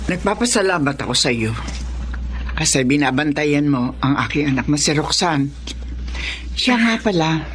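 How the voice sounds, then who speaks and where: An actress playing an older, protective mother-in-law who speaks in a measured, decisive way in a Filipino radio drama. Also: low-pitched, expressive. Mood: grateful, resolved.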